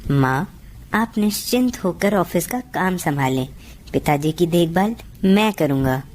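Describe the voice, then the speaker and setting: A woman's voice: lowish voice, lively intonation, measured pace, a little mellow, a little hiss or hum. A woman voicing a caring, dutiful grown-up son in a cartoon story. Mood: reassuring. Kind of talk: acted cartoon story dialogue.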